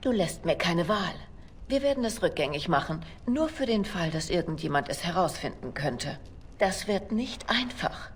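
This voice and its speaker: Low-voiced, secretive female spy. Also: expressive, normal speed, slight background noise. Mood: guarded, reluctant. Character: smooth, confident, secretive female spy and lover. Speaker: voice actress in a video game. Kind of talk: German fantasy game dubbing.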